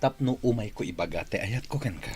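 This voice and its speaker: An actor playing a rich, jealous, hot-tempered suitor in an Ilocano radio drama, with a husky voice. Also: male voice, lowish voice, animated, brisk, a little mellow, faint music behind, close to an everyday voice. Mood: pleading, lovesick.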